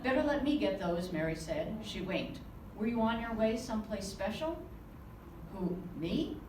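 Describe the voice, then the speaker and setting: A woman's voice: mid-range voice, animated, a little slow. Woman reading her novel aloud in an echoey room. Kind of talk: reading aloud to a live audience. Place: conference room. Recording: roomy, distant with background noise.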